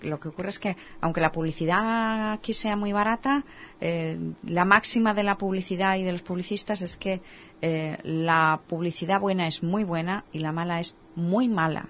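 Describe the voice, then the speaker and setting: A woman's voice: fairly low pitch, steady tone, moderate pace, a little hiss or hum. A woman with a Spanish accent from Spain, hosting a casual radio interview and giving everyday examples. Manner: conversational, gives everyday examples. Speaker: radio show host.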